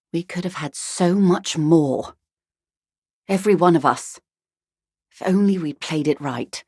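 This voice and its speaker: A wealthy, middle-aged female negotiator for a video game. Her voice is low and recorded very dry and close. She speaks in measured, eloquent sentences with polished formality and a worldly, dry irony. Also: a little mellow, breathy edge, no room sound. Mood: wistful, reflective. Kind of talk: video game character dialogue.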